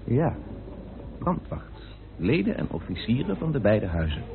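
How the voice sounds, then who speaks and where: An actor playing a composed, well-informed British parliamentarian turned sleuth in a Dutch radio play, explaining things in a measured, deliberate way. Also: male voice, mid-range voice, huge pitch swings, creaky, background noise, heavily acted. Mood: explaining, assured. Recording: narrow, phone-like old radio recording.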